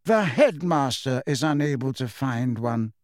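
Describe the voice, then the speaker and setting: A man's voice: mid-range voice, animated, measured pace, dry recording, studio quality, natural voice. A man voicing a very old wizard headmaster who speaks pleasantly, courteously and without hurry.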